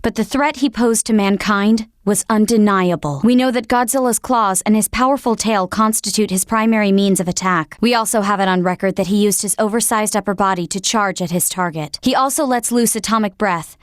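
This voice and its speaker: A woman's voice: medium pitch, brisk, few pauses, dry recording, studio quality, close to an everyday voice. A woman playing an earnest, dutiful military operator in a video game, speaking formally. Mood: grave, explaining. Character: earnest female military operator briefing her commander. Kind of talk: scripted video game voice acting.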